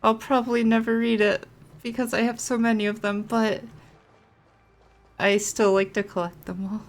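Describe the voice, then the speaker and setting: A woman giving casual, playful and expressive commentary as she streams a game. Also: fairly high pitch, normal speed.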